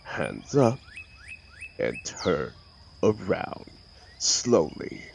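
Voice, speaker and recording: A man playing an arrogant, mocking telepathic supervillain in an audio roleplay, with a gravelly voice and a sneering, sarcastic, theatrical manner. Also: sits low, sing-song, very slow, a little hiss or hum, exaggerated voice. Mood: commanding. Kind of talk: dramatic audio roleplay monologue.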